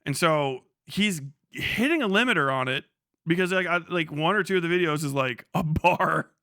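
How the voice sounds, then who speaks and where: Man, livestream commentary, crisp clear voice, close dry sound, casual, profane, slangy. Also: fairly high pitch, animated, moderate pace, sharp tone, no room sound. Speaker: livestream host.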